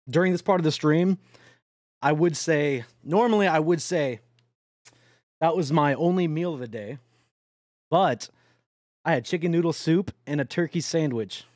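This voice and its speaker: Young male streamer, high and lively, casual and profane, talking to chat over a truck game, dry close mic. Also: no room sound. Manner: excitable, swears often, yelps and laughs; addresses chat directly. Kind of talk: casual live-stream chatter while playing a truck driving game.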